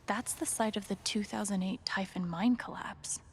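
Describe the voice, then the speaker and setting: An actress playing a wary, determined young woman in a video game, thinking aloud in a hushed, breathy, wry way. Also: medium pitch, normal speed, slight background noise, lightly acted. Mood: uneasy, intent. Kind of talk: naturalistic video game acting.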